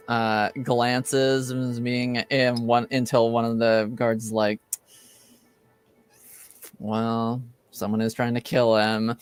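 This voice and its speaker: Slow, hesitant male game master. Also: medium pitch, clean tone, no echo. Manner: hesitant, halting delivery. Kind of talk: improvised narration of tabletop roleplaying game.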